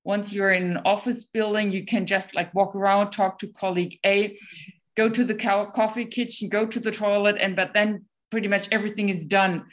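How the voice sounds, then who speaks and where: A woman speaking English with a German accent in a relaxed, unscripted talk. She pauses often and fills the gaps with ums, restarting her sentences as she searches for words. Her pitch sits in an ordinary middle range for a woman. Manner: hesitant, many ums and restarts. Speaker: guest speaker. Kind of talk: casual talk answering questions.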